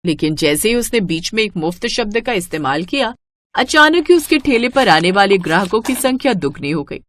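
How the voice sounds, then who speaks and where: A woman narrating a scripted moral story in an expressive storytelling manner. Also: mid-range voice, moderate pace, a little hiss or hum.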